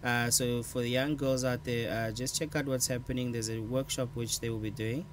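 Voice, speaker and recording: A man's voice: medium pitch, steady tone, normal speed, slight background noise. A man co-hosting a tech show, pointing viewers to programs in a casual, upbeat way. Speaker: co-host.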